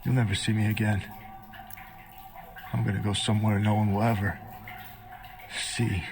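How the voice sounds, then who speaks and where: An actor in a video game playing a burned, tortured vampire prisoner who speaks in a halting, desperate, gravelly voice. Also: male voice, on the low side, level tone. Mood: weary, bitter. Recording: loud music behind the voice.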